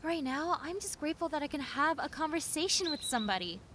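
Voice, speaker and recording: A woman playing a friendly, clumsy young female zombie in a roleplay audio drama, talking in a chatty, expressive, rambling way. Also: high-pitched, fast talker, a little hiss or hum. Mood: wistful, grateful. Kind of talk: roleplay audio drama, conversational monologue addressed to listener.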